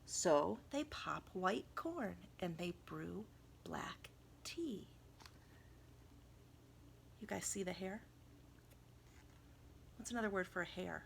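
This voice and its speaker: A woman reading a picture book aloud to children, expressively and dramatically, dropping into hushed tones and chatty asides. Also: on the low side, expressive, slow pace, creaky, pauses often, slight background noise. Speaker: volunteer children's story reader.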